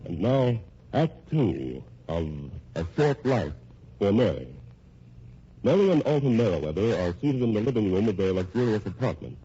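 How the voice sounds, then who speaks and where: A man's voice: fairly low pitch, sing-song, normal speed, mellow. A man with an American accent narrating a vintage radio drama as its announcer, in scripted dramatic style. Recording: muffled, noisy old radio recording.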